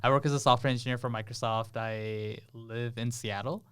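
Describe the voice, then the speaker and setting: A young man from Bangladesh speaks in a smooth, clear voice at a middling pitch. He is a guest on a casual podcast, chatting and laughing easily. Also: fairly even intonation, fairly quick, fairly bright tone. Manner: laughs often, explains with many fillers. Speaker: podcast guest, software engineer.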